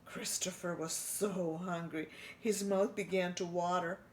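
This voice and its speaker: A woman's voice: on the low side, measured pace. A woman reading a children's storybook aloud, stopping to ask her young listeners questions. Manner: expressive, asks listeners questions. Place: church.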